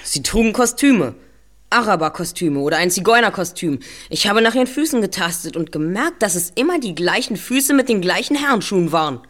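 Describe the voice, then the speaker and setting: A woman's voice: mid-range voice, lively intonation, fairly quick, fairly bright tone, natural voice. Brainy boy investigator, voiced by a woman: confident, exact, explanatory, mid-range audio drama delivery. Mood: accusing. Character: clever, self-assured boy detective.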